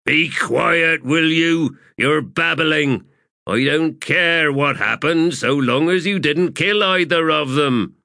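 A man voicing a bossy, irritable army corporal in an audiobook, barking rough soldierly talk. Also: mid-range voice, very animated, extremely slow, bright, forceful tone, dry recording, clean studio sound, pitched above the performer's own voice, full character voice. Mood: impatient.

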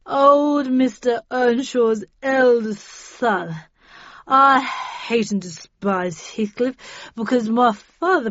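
A woman's voice: on the high side, no echo, dull audio, pitched above the performer's own voice. Woman acting a slurring, drawling drunk man. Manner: slow, drawn-out delivery. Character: drunk, resentful man, eldest son, slurring bitterly.

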